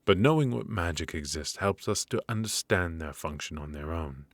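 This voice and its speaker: A man voicing a cold, strict royal magic professor in an ASMR roleplay, lecturing in a measured, stern way into a close mic. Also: sits low, expressive, no room sound.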